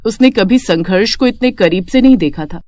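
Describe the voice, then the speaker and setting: A woman's voice: medium pitch, normal speed, warm tone, no echo, clean studio sound. A woman narrating a scripted moral story as a storyteller.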